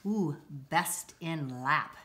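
A middle-aged woman reading a children's picture book aloud, deliberately and with expressive emphasis, recorded indoors a short distance from the camera. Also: deep voice. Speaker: school read-aloud presenter. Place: indoors, home-like room with armchairs.

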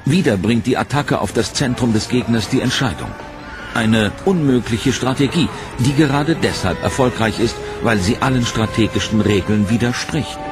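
A man giving scripted, measured documentary narration in a dramatic storytelling manner, over a music bed. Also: medium pitch. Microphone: studio voice-over mic.

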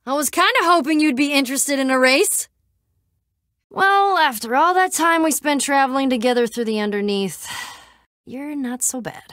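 High-voiced, scrappy young adventurer. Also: female voice, expressive, moderate pace, a bit bright, dry recording, clean studio sound. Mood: casual, teasing. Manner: casual, sarcastic, plain-spoken. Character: scrappy, wry young female adventurer in a blocky adventure game. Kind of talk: video game voice acting.

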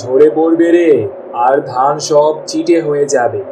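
A man plays a poor village farmer and father in a cartoon, fretful and tender. His voice sits a little high and sighs with worry. It sounds off-mic, with clear rain noise around it. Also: unhurried, far from the mic, natural voice. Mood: worried, resigned. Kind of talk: cartoon voice acting.

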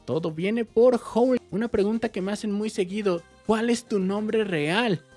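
Mexican guy, 30s, gaming vlogger, lively casual Q&A, soft music underneath. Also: sits high, a little slow. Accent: Mexican Spanish. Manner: chatty, animated, waves arms while talking. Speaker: YouTube gaming channel host. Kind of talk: casual Q&A vlog. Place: small indoor room with game shelves.

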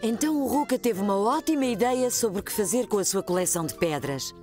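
A woman with a European Portuguese accent narrating a children's cartoon in a gentle storybook manner. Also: mid-range voice, lively intonation, fairly quick, faint music behind.

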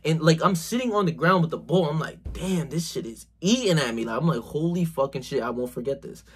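A man's voice: on the high side, animated, brisk, breathy edge. A man about to turn twenty-one giving a slangy, emphatic motivational talk to camera, swearing a lot and saying "bro," recorded on a phone camera in a small bedroom. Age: 20s. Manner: gestures a lot while talking. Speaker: self-improvement vlogger.

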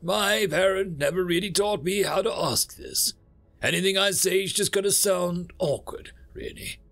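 A man acts out the universe itself as a bashful cosmic being in an audio story. The voice sits high and swings up and down, stammering politely and apologetically as it explains itself. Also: gravelly, fairly bright tone, exaggerated voice. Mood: awkward, sheepish.

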